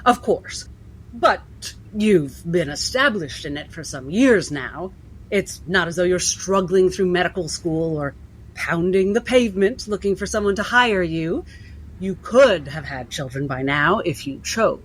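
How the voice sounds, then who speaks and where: A coolly persistent female interviewer in a period drama, played by a woman. Her voice is husky and a little low, her delivery formal, measured and pointed. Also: lively intonation, poor audio. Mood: cool, probing. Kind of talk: cinematic period drama acting.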